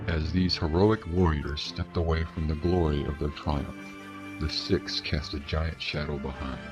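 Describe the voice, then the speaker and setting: A man narrating scripted fantasy lore in a solemn, grandiose storytelling manner. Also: extremely low pitch. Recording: music bed under narration. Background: music.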